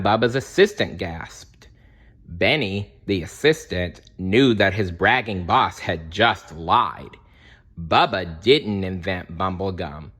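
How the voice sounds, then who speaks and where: Male children's read-aloud narrator: lowish pitch, very animated intonation, dramatic delivery. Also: husky. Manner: dramatic, explains asides to young listeners. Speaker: storybook reader.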